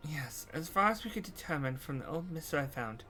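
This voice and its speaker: A man's voice: a little high, lively intonation, a little fast, pushed and bright, faint music behind. Man voicing an articulate, earnest fantasy scholar. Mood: curious, formal. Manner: formal, articulate. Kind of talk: scripted game dialogue read-through.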